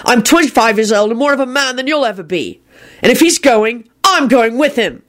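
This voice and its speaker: Defiant young man , played by a woman reader; raised pitch, lively delivery. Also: fairly quick, natural voice. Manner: defiant, hissing. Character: young adult man, hot-tempered hero.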